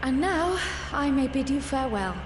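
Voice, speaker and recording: A woman voicing a polite, composed young ally in a video game, formal and gentle. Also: high-pitched, expressive, moderate pace, roomy sound, background noise. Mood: contented parting. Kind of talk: dramatic video game cutscene acting.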